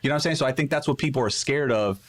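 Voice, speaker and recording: A man's voice: mid-range voice, very fast. A man co-hosting a podcast in casual chat, talking in an informal, buddy-like way with plenty of slang. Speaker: podcast co-host.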